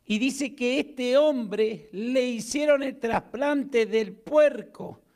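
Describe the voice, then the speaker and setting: A middle-aged man with an Argentine accent, preaching a sermon from a church pulpit into a handheld mic, swinging from loud calls for amens to quiet asides. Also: extremely high pitch, drawn-out words. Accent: Argentine Spanish. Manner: emphatic preaching, rising loud to call for amens and dropping to a hush. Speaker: pastor. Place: church hall with a stage. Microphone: handheld mic at a pulpit, far camera.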